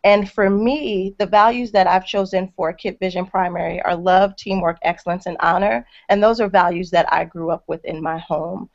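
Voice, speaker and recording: A woman's voice: lowish voice, steady tone, moderate pace, hardly any pauses, darkish tone. A woman school leader from Brooklyn, New York, speaking passionately and emphatically in a panel chat. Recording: dull lo-fi sound.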